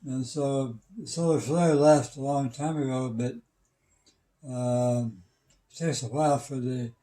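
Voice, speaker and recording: An older man in his seventies explaining things casually, in a halting, stammering, matter-of-fact way. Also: mid-range voice, fairly even intonation, mellow. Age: 70s. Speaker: retired systems engineer and radio hobbyist.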